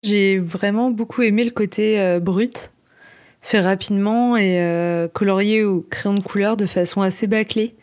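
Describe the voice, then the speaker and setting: A woman's voice: medium pitch, steady tone, unhurried, darkish tone. A woman co-hosting a podcast, presenting from a script with casual asides. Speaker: podcast co-host. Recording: music playing behind the voice. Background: music.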